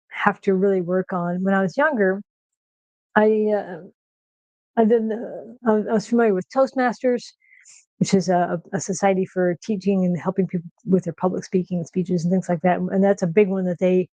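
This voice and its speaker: Soft-voiced nasal woman, mellow tone. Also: mid-range voice, no echo, highs cut off. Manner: chatty, with many fillers and restarts. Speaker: streamer host. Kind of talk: casual livestream chat. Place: home kitchen.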